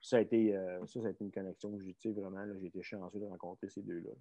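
Middle-aged man, Québécois French accent, gravelly, dark low voice, rambling casual chat, blunt. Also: little pitch movement, rapid-fire. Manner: rambling, many fillers and restarts, blunt. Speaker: strength coach guest. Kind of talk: casual conversational storytelling.

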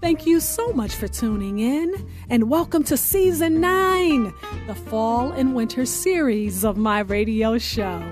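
A woman's voice: fairly high pitch, lively intonation, a little slow. A woman hosting a radio show, giving a scripted introduction to the program. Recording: music playing behind the voice.

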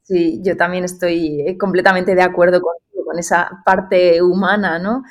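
A woman's voice: lowish voice, flat delivery, moderate pace. A woman hosting a podcast interview, speaking in a thoughtful way with many fillers. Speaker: host, hospital pharmacist. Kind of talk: interview conversation.

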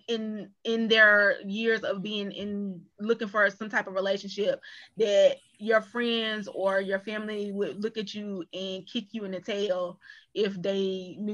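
Bright sharp-voiced lady, opinionated, casual talk, kinda lo-fi dull recording. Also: mid-range voice, fairly even intonation, unhurried. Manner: opinionated, emphatic. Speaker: voice actor cast member. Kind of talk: casual discussion.

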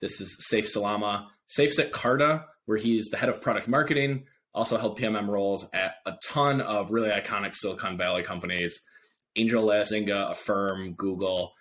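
A man's voice: lowish voice, steady tone, moderate pace, a bit bright. A man hosting a podcast in a casual, informal and affirming conversational style. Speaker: podcast host.

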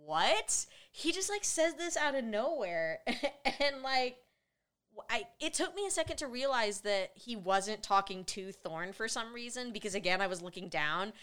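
A woman's voice: fairly high pitch, big pitch swings, moderate pace, a bit bright, clear, resonant tone. Chatty woman recapping a movie, laughing. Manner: laughing often, acts out movie lines. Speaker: podcast co-host. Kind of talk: casual movie recap chat.

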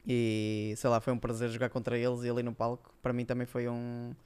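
A man with a European Portuguese accent chats in a clear, smooth voice that stays very even in pitch. He reminisces casually, feeling his way through loose, hesitant phrases. He comes across as a guest on a podcast. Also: fairly quick. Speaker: podcast guest, former esports player.

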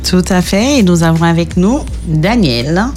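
A woman with a very low voice introduces the guest on a radio show in a friendly way. Music plays faintly behind her. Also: expressive, a little slow, darkish tone. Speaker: radio co-host. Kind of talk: radio hosting. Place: radio studio.

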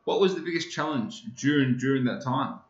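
A man's voice: medium pitch, lively intonation, fairly quick, echoey room, dull audio. A man hosting a podcast interview casually, with short reactions and stumbling repeats. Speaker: podcast host.

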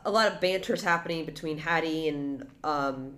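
A woman's voice: deep voice, moderate pace. A young woman co-hosting a podcast, talking animatedly and rambling as she chats about a TV show. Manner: animated, rambling, jumps into exaggerated quoted voices. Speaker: podcast co-host. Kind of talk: casual chat about a TV show.

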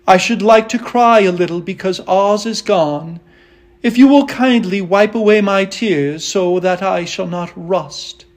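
A man voicing a sentimental, tender-hearted tin man in a storybook, with an earnest, drawn-out delivery. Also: high-pitched, voice pushed up. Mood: mournful, tender.

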